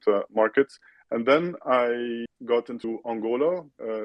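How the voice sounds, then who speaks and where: A man from Lebanon, a guest on an online chat, speaking in a measured way with frequent restarts and fillers. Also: on the low side, no echo. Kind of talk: recorded online audio chat.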